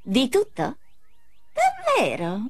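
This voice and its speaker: A woman's voice: high-pitched, wildly swooping pitch, slow pace, breathy edge, no room sound, exaggerated voice. A woman voicing a spiteful, sarcastic teenage goth schoolgirl villain in an Italian cartoon game dub, snide and dramatic. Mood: mocking.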